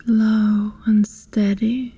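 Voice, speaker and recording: ASMR roleplay sorceress, female performer: friendly, reassuring, unhurried delivery, breathy whisper with creaky fry at the edges. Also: a little high, level tone, dark tone, full character voice. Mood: hypnotic, soothing. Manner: slow, soothing, deliberate with long pauses. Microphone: close, dry microphone.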